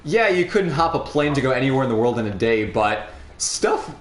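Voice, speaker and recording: Halting young man streaming in an echoey room. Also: mid-range voice, big pitch swings. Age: young adult. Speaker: host of a gaming livestream. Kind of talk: casual chat while playing a game. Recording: roomy echo, faint background noise.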